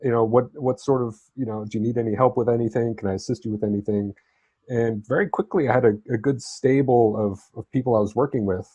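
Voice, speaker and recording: A man's voice: medium pitch, fairly even intonation, fast, warm tone, husky, no room sound, lo-fi sound. A man who grew up in southern Spain talks conversationally as an interview guest, telling his own story in an easygoing, explanatory way. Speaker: interview guest, freelance writer and travel correspondent.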